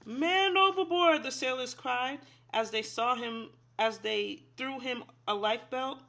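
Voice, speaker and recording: A woman's voice: on the high side, fairly even intonation, unhurried, gravelly, bright, forceful tone. A woman reading a children's picture book aloud expressively, in an instructive way, asking young listeners simple questions. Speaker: read-aloud storyteller, teacher.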